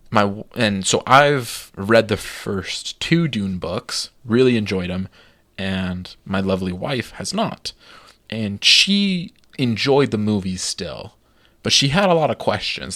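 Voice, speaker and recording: A man's voice: on the low side, expressive, slow, fairly bright tone, no room sound. A man co-hosting a podcast, chatting casually and enthusiastically with many fillers. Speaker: podcast co-host. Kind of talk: casual chat.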